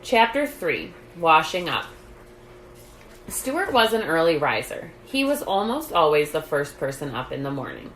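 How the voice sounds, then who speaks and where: Storytime reader: woman, low register with fry, measured children's-book delivery, echoey room. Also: sing-song, fairly bright tone. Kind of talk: reading a children's book aloud. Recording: roomy echo, light background noise.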